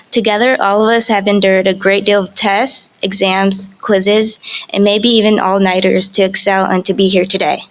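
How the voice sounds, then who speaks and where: A young woman, co-valedictorian, giving a formal and composed graduation speech. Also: medium pitch. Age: young adult. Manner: formal, composed, read from a script.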